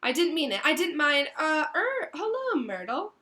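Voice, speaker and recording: A woman's voice: sits high, very animated, moderate pace, a bit bright, voice pushed up. Woman as an anxious, earnest schoolgirl. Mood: frantic. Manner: anxious, over-polite. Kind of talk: storybook read-aloud.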